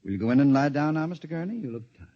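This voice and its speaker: A man acting a weary, placating psychiatrist with measured speech in a 1950s radio drama. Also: a little high, expressive, close to an everyday voice. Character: soothing, weary, patronizing male psychiatrist, middle-aged. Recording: dull, hissy 1950s radio recording.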